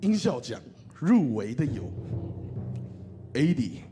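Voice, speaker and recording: A man's voice: mid-range voice, slow pace, soft background music. A man with a Taiwanese Mandarin accent co-presenting a ceremony as a host, in a playful, joking way. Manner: animated, joking. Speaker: radio host and actor co-announcing award nominations.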